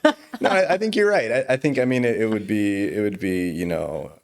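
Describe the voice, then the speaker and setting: A man's voice: on the low side, animated, a little fast. A young man who is a guest in a casual interview, speaking earnestly and reflectively and laughing easily. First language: English. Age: young adult. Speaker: attorney guest.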